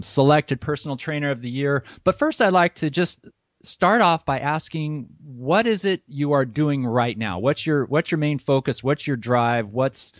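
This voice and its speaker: A man's voice: a little high, no room sound. A man hosting an interview podcast, friendly and a little rambling as he asks his questions. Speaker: podcast host. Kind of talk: casual interview conversation. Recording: dull, dry sound.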